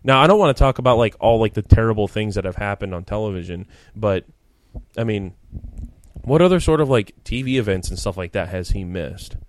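A man in his late twenties co-hosting a casual chat, joking and animated, with lots of fillers. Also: on the low side, brisk. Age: 20s. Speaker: podcast co-host.

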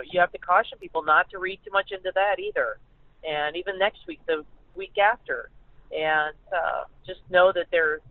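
A woman with an American accent giving conversational sports analysis as a guest reporter, haltingly and often repeating words. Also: on the low side. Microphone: telephone. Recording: tinny, narrow phone line.

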